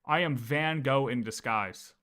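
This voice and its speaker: A man livestreaming in a casual, animated way, talking through lore with viewers, repeating phrases and often checking that they follow. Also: medium pitch, unhurried, a little hiss or hum. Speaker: streamer.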